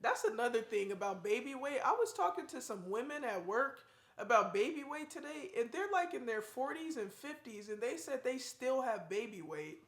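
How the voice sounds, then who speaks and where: A woman's voice: a little high, big pitch swings, moderate pace, reverberant. A young woman and new mother chatting casually in a family vlog, talking in a rambling way. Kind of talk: casual chat.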